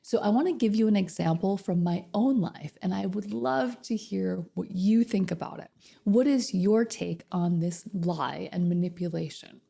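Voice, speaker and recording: Slightly hoarse woman coach with a gravelly voice. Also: mid-range voice, normal speed, warm tone. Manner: chatty, addresses viewers directly with questions. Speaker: narcissistic abuse recovery coach. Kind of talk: casual direct-to-camera advice talk.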